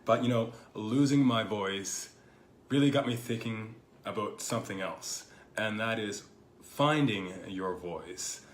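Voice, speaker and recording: Man, podcast host, slightly hoarse from a cold, low voice, meandering reflective talk, echoey room. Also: measured pace. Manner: thoughtful, rambling, full of "you know" fillers. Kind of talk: casual reflective monologue. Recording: echoey room with faint background noise.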